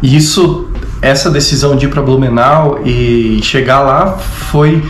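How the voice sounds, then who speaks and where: A man's voice: mid-range voice, fairly even intonation, measured pace, slight background noise. A man in his thirties with a southern Brazilian accent from Rio Grande do Sul, casually telling his story as a vlogger. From interior of Rio Grande do Sul, Brazil. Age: 30s. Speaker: vlogger, co-host of a couple's travel channel. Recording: roomy echo, music behind.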